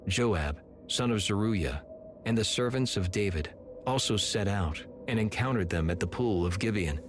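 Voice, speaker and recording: Man, deep voice, scripted scripture narration, measured, solemn, music behind. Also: expressive.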